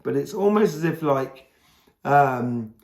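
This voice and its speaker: Hoarse gravelly-voiced man. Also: mid-range voice, lively intonation, takes it slowly, darkish tone, echoey room. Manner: rambling, meandering with many fillers and restarts. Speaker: tarot reader. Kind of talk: casual monthly tarot reading.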